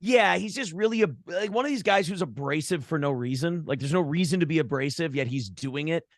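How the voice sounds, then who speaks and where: A man's voice: on the high side, fairly quick, no echo, clean studio sound. A man being interviewed in a casual conversation, telling stories in a joking, profane way. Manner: animated storytelling, profane, joking. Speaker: interview guest, broadcaster.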